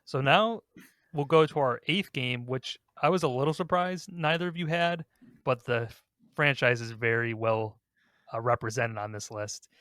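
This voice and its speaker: A man's voice: mid-range voice, normal speed, a bit bright, no echo, studio quality. A man co-hosting a podcast, chatting casually about video games in an informal, self-deprecating way. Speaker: podcast host.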